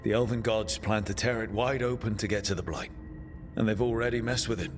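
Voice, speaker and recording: A man's voice: lowish voice, fairly even intonation, a little fast, music in the background, natural voice. An actor playing a casual, plucky young male hero in a video game, informal and direct. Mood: earnest, friendly.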